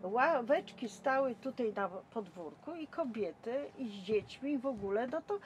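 A woman's voice: a little high, lively intonation, a little slow, slight background noise. An older woman chatting about memories in a colloquial, talkative way, as a local resident. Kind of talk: casual chat, reminiscence.

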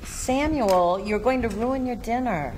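Woman reading fretful mom, high and slow, noisy room. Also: natural voice. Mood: fussing. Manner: doting and fretful. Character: fussy caring suburban mother. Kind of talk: table read. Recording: noisy classroom recording.

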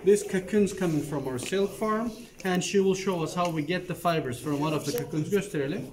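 A man's voice: high voice, brisk. A man with a Turkish accent, guiding visitors through a carpet workshop and patiently explaining each step, checking in with "okay?" as he goes. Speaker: carpet factory tour guide. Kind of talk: guided tour explanation. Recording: echoey room, from a distance, noisy.

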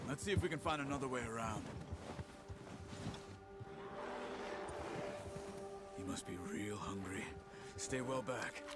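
An actor playing a stoic, cautious outlaw tracker of the Old West in a western game, with an American accent and terse, measured delivery. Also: male voice, fairly low pitch, close to an everyday voice. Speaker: video game character. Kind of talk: naturalistic western game dialogue.

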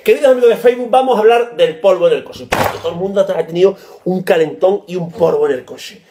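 A man, ranting loudly and comically to a webcam in a small room, gesturing wildly and swearing. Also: high voice, fast talker, background noise. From Spain. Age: 30s. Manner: loud, animated, gesturing wildly, swearing and shouting. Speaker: comedy vlogger. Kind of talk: comic rant to camera. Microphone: laptop webcam.

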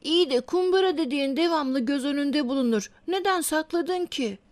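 Woman as caring big sister, high voice. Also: moderate pace, lightly acted. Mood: curious. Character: caring, helpful older sister, young girl. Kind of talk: children's cartoon dubbing.

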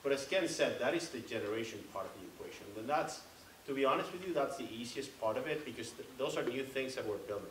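A man's voice: medium pitch, lively intonation, fast talker, a bit bright. An older man in his sixties on a panel, answering an audience question in a chatty way with everyday examples. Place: large library room. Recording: echoey room from far off with hiss.

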